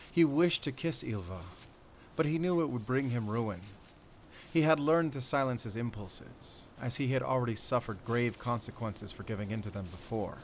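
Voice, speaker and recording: An actor playing a solemn werewolf hunter and spy in an audio drama, speaking in a measured, earnest, dramatic way. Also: male voice, medium pitch, a little hiss or hum, natural voice, low-quality recording. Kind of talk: cinematic audio roleplay acting.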